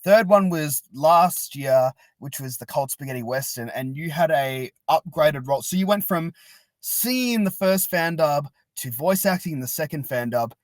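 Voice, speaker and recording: A man's voice: on the high side, lively intonation, moderate pace, no room sound. An Australian man chatting casually and enthusiastically as a podcast host. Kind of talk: casual interview chat.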